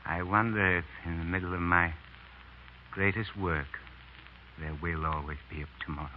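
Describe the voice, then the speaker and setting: An actor playing a fervent, gruff, aging French novelist in a theatrical 1930s radio drama style. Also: male voice, deep voice, steady tone, moderate pace, close to an everyday voice. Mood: musing, fervent. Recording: muffled, noisy 1930s radio recording.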